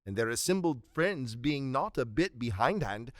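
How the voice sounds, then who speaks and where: A man's voice: medium pitch, lively intonation, normal speed, fairly bright tone, no echo, clean studio sound. A man giving dramatic scripted narration for a radio play in a storytelling style.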